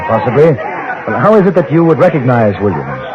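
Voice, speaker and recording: An actor in an old-time radio drama playing a courteous frontier newspaper correspondent, narrating and talking in a measured, literary, polite way. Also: male voice, mid-range voice, huge pitch swings, crowd noise. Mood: gentle, probing. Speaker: narrator and lead character. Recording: muffled old radio recording with loud music.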